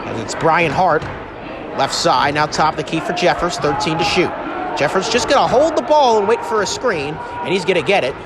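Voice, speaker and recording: A man giving excitable live play-by-play radio commentary of a basketball game, calling out each play. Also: fairly high pitch, moderate pace. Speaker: play-by-play announcer. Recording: very loud arena crowd noise. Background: basketball game crowd and bouncing ball.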